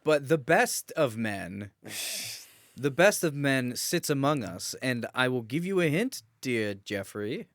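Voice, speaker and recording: Animated man chatting casually on a podcast. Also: mid-range voice, slow pace, no room sound. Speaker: podcast co-host. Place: small office.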